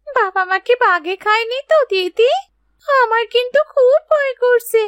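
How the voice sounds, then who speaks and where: A woman's voice: very high-pitched, expressive, measured pace, dry recording, muffled recording, faint music behind. A woman voicing an anxious, pleading little village girl in a children's fairy-tale cartoon. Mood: worried, tearful.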